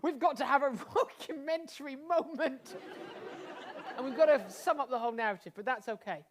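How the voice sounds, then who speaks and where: A woman's voice: high voice, brisk, a crowd in the background, noisy background. A woman acting as director and narrator of a live comic stage show, interrupting and stumbling over repeated words. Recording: live stage, faint music and audience.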